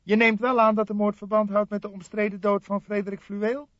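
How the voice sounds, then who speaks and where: Guy reading as young eager cop sidekick in an audiobook, highish n matter-of-fact, dry muffled audio. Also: little pitch movement, a little fast, a bit bright, dry recording. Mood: businesslike. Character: eager, businesslike young male detective, junior partner. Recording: dull, dry lo-fi audiobook recording.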